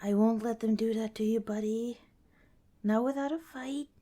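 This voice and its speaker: A woman playing a friendly, protective young wanderer in an ASMR roleplay, chatting casually and gently with hesitant pauses and small chuckles. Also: fairly high pitch, fairly even intonation, close to an everyday voice. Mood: gently wary. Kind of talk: ASMR roleplay, casual one-sided dialogue.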